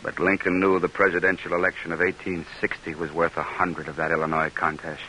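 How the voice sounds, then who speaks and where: An actor playing a middle-aged senator, grave, earnest and formal in delivery, in an old-time radio drama. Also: male voice, low-pitched, flat delivery, brisk, bright, forceful tone, low-quality recording. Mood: troubled, resigned. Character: defeated middle-aged senator, earnest, weary, devoted statesman. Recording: hissy vintage radio broadcast recording.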